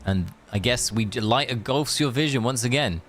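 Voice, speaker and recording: A man's voice: mid-range voice, normal speed, fairly bright tone, soft background music. A man streaming a game, reading story text aloud with sarcastic, joking asides.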